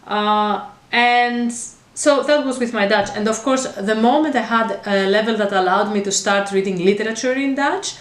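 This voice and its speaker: A woman's voice: on the high side, normal speed, hardly any pauses. Woman chatting in a roomy space. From Greece. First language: Greek. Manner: chatty and meandering, with frequent fillers and restarts. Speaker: vlogger. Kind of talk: casual talk to camera. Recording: roomy echo.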